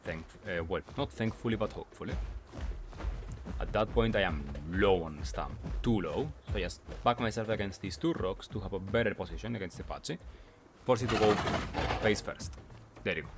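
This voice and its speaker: A man's voice: on the low side, moderate pace, music in the background. A man doing gameplay commentary as a video creator, explaining in short clipped phrases and often asking himself questions before answering them.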